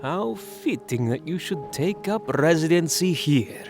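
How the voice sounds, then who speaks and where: A man voicing an ageless, mocking vampire lord in an audio drama, taunting slowly and theatrically. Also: on the high side, expressive. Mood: gloating. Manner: silky, taunting, slow and theatrical. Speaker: villain. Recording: music score behind the voice.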